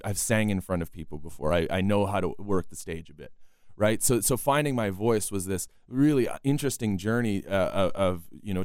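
A young man with a baritone voice, a country singer being interviewed, who talks with many restarts and keeps asking "right?". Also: a little mellow, smooth, dry recording, studio quality. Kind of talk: casual interview talk.

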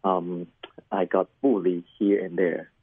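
A middle-aged man from Hong Kong whose first language is Cantonese, a pastor telling his life story in an interview, halting and repeating words with many fillers. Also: low-pitched, little pitch movement, husky, no echo, narrow, tinny audio. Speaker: pastor and chaplain, guest. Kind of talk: personal testimony interview.

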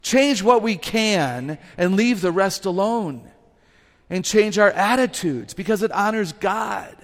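A woman with an unusually low voice gives a lively, humorous talk to a live audience through a microphone. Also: takes it slowly. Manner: lively, humorous, emphatic, laughs at herself. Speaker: guest speaker and author. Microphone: microphone on a stage. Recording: live talk with long hall reverb.

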